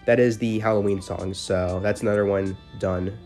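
A man's voice: on the low side, steady tone, moderate pace, darkish tone. A man giving casual, slangy commentary over gameplay as a gaming video host. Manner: informal, slangy. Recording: music behind the voice.

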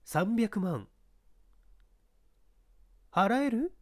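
A woman's voice: medium pitch, very animated, very slow. A woman voicing a pushy, calculating female baseball scout, insistent and needling, in a story reading. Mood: pressing, scornful. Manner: insistent, needling, feminine old-fashioned phrasing.

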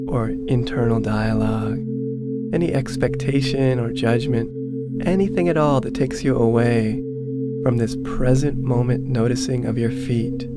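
A man narrating a guided meditation in a soothing, measured, instructional manner. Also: medium pitch. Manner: soothing, measured, instructional, repeats phrases slowly. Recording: prominent meditation music with singing bowls. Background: meditation music, singing bowl tones.

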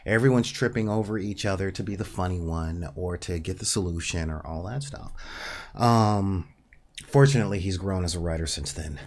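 A man in his forties with a nasal voice hosts a casual livestream, thinking aloud with many pauses and fillers in a small home studio. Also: low voice, darkish tone, a little hiss or hum. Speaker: livestream host. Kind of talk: casual livestream talk about tabletop gaming. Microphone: studio mic on a desk stand.

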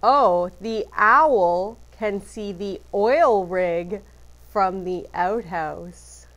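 A woman teacher reading a picture book aloud to children, deliberately emphasizing letters and phrases. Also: medium pitch, a bit bright, highs cut off.

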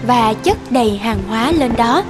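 Female fairy tale narrator: high voice, scripted storytelling, light music bed. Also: animated, moderate pace.

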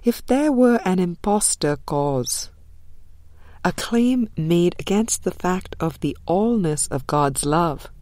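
A woman reading a spiritual book as an audiobook narrator, measured and deliberate, with frequent pauses. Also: fairly low pitch, lively intonation, darkish tone, no room sound. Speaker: audiobook reader.

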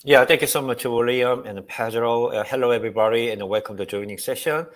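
A man's voice: medium pitch, a little hiss or hum. Upbeat man giving a tech talk. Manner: upbeat, halting with many fillers. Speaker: guest speaker. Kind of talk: technical presentation. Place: home room.

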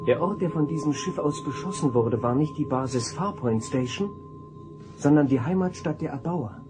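An actor playing a detached, analytical, polite android officer in a radio drama, precise, formal and unemotional. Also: male voice, fairly high pitch, normal speed, over music, natural voice.

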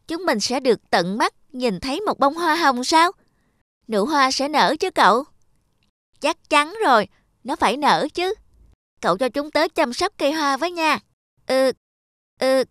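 A woman's voice: sits high, a bit bright, no echo, clean studio sound. A woman voicing earnest, hopeful young children in a cartoon.

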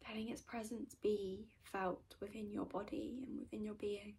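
Female meditation teacher, mid-pitched with noticeable fry, soft and soothing, often near a whisper. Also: level tone, moderate pace, slight background noise. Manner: gentle, soothing, often trailing into breathy whispers. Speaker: meditation guide. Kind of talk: guided meditation, soft-spoken and unhurried.

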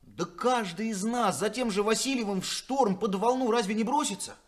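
An actor playing an earnest sailor making an impassioned speech at a crew meeting in a 1970s radio play. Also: male voice, high voice, moderate pace, close to an everyday voice. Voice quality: impassioned, emphatic.

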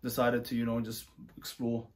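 Relaxed man chatting casually. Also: mid-range voice, normal speed. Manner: rambling, relaxed, many fillers and restarts. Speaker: travel vlogger. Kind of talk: casual chat.

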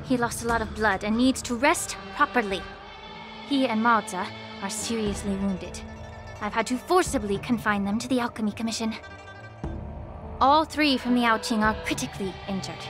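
A woman playing a shrewd, poised healer in an anime game, polished and gently commanding. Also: fairly high pitch, normal speed, over music, lightly acted. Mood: composed, concerned.

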